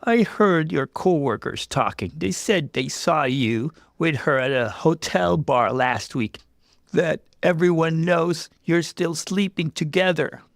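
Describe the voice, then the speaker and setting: Man voicing a distraught, higher-pitched bride-to-be. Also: takes it slowly, gravelly, dry recording, clean studio sound. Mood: accusing, hurt. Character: distraught young bride-to-be, wounded and suspicious.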